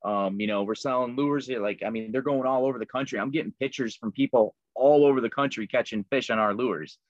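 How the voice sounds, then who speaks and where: A man's voice: medium pitch, fairly quick, no room sound. Easygoing man chatting, slightly dull sound. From Liverpool, New York. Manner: easygoing, many ums and fillers. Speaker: guest, maker of fishing lures. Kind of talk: casual interview chat.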